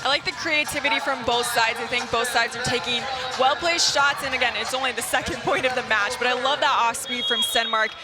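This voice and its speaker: Lady doing color commentary at a volleyball match, chatty analysis, really loud crowd behind her. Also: fairly high pitch, fairly even intonation, a little fast, hardly any pauses. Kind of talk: conversational sports analysis. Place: indoor sports arena. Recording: loud crowd and arena noise. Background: crowd, bouncing balls, music.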